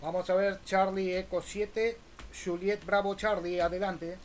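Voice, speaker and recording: A man's voice: sits high, flat delivery, a little slow. A man with a Buenos Aires Spanish accent, chatting casually as an amateur radio operator. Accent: Buenos Aires Argentine Spanish. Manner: spells call signs in phonetic alphabet. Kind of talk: casual ham radio contact. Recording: faint noise behind voice.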